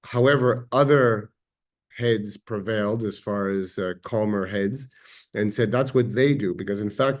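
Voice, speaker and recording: A man with an Israeli accent giving a measured, reflective history lecture, pausing now and then with fillers. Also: fairly low pitch, a little mellow. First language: Hebrew.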